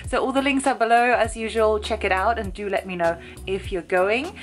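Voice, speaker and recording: A woman's voice: mid-range voice, steady tone, a little fast. A young German woman with a German accent, chatting enthusiastically to a handheld camera as a food vlogger. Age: young adult. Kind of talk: upbeat vlog narration to camera. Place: bedroom, bus, street and restaurant. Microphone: handheld camera at arm's length. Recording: music playing underneath. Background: background music.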